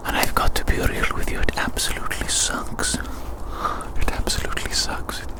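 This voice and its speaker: An ASMR creator rambles in a breathy, unvoiced whisper, repeating phrases slowly and soothingly while outdoors. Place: outdoors in winter woods and hillside field.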